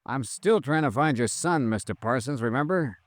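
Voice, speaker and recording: An actor playing a wry, tough insurance investigator in an old-time radio noir drama, clipped and sardonic, who also narrates. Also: male voice, mid-range voice, animated, moderate pace, no room sound, close to an everyday voice. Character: wry, hard-boiled male insurance investigator.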